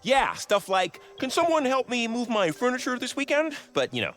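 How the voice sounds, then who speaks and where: Excitable, geeky young sidekick. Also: male voice, extremely high pitch, normal speed, faint music behind, full character voice. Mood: cheerful. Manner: excitable, chatty. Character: eager, geeky young sidekick who loves superheroes. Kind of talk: animated video game acting.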